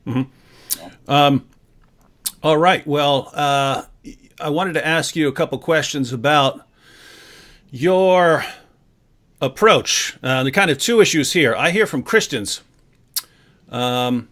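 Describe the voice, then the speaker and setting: Upbeat male host of a live stream, slightly high voice, playful and chatty. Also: slow, pauses often. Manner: jokey, energetic, conversational. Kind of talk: live-stream talk show hosting.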